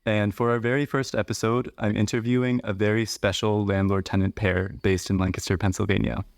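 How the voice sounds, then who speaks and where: Male podcast host, lowish voice, measured, explanatory, dry close sound. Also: little pitch movement, gravelly, no room sound. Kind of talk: podcast hosting and interviewing.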